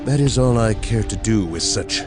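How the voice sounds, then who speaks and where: An actor playing a dutiful, wary space marine officer giving formal military reports in a game cutscene. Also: male voice, lowish voice, lively intonation, moderate pace, close to an everyday voice. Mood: businesslike. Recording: loud music behind.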